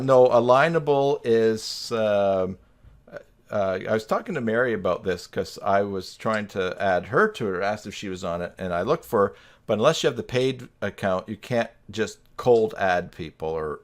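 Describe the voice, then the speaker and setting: Joking, low-pitched man hosting a stream. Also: normal speed. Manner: joking, teasing. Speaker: live stream host. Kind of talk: casual chat. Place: indoors on a video call live stream.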